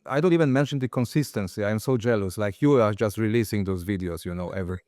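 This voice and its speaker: Eager male interviewer, praises freely, restarts his sentences; crisp voice, dry close recording. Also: on the low side, fast talker, dry recording. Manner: enthusiastic, warm praise, stumbling restarts. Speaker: interviewer host. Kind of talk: casual interview conversation.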